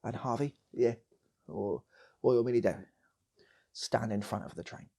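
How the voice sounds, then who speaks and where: A man's voice: mid-range voice, moderate pace, gravelly, warm tone, slightly rough. A British man improvising a comic story, rambling and repeating phrases for effect as he goes. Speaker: channel host and storyteller.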